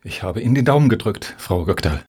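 A man acting in a radio satire as a high-minded cultural activist with a wry, genteel manner. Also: expressive, quick pace, a little mellow, breathy edge.

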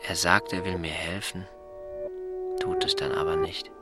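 A deep-voiced secret observer over music. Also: male voice, steady tone, measured pace. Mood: disappointed. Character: observant, solitary man reading out his private notes. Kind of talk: scripted radio drama monologue. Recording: prominent music under the voice.

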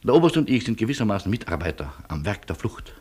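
An eloquent refugee in a radio play, speaking in a deep register. His delivery is husky, polite and drily ironic, with elaborate phrasing. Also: sing-song, fast talker, darkish tone. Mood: resigned, wry. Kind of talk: German radio play acting.

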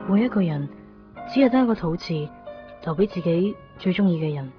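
A woman's voice: lowish voice, lively intonation, brisk, lightly acted. A woman playing a wistful, innocent young woman in love in a radio drama, dreamy and confiding. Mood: tender, wistful. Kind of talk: Cantonese radio drama narration and dialogue. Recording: dull lo-fi radio recording, music behind.

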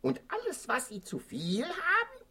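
Didactic parrot: high-pitched, measured pace, sing-song theatrical delivery, children's radio drama. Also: female voice, huge pitch swings, gravelly, pushed and bright, slightly rough, exaggerated voice. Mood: lecturing. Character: clever, lecturing female parrot, animated storybook companion. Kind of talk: children's radio play acting.

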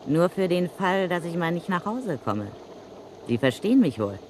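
Brazen, slangy gangster's widow. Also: female voice, fairly low pitch, big pitch swings, moderate pace, darkish tone, slight background noise, poor audio. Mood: brazen, threatening. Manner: slangy, mocking, self-assured. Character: brazen, street-wise gangster's widow, a sly blackmailer. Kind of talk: German crime radio play acting.

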